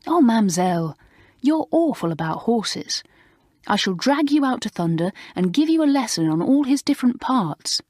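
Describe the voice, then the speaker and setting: A woman voicing an impish, horse-mad teenage schoolgirl who talks in a lively, teasing way. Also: fairly high pitch, moderate pace, dry recording, clean studio sound, voice pushed up. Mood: correcting.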